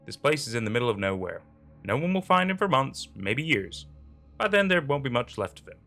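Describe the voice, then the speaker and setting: A man's voice: medium pitch, sing-song, brisk, gravelly, fairly bright tone, faint music behind. A man voicing a hard, short-tempered criminal, blunt and contemptuous, in a dramatic story. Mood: cold, dismissive. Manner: blunt, profane, demanding.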